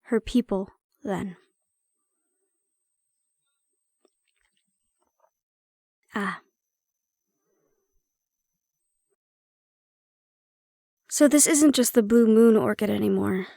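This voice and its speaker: A woman playing a prickly but caring handler in an intimate audio roleplay, speaking in a measured, probing way. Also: mid-range voice, creaky, mellow, dry recording, clean studio sound. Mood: curious, probing. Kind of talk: intimate audio roleplay acting, one-sided conversation.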